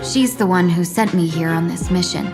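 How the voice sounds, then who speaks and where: A woman voicing a gracious, tactful female envoy in a video game, polite, formal and measured. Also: lowish voice, natural voice. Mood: grave, confiding. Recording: music under the voice.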